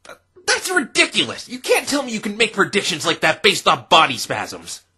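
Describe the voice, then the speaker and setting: A man's voice: high voice, huge pitch swings, moderate pace, pushed and bright, heavily acted. A man playing an easily flustered, exasperated young lawyer in a cartoon, with a dry, stammering manner. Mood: panicked, exasperated.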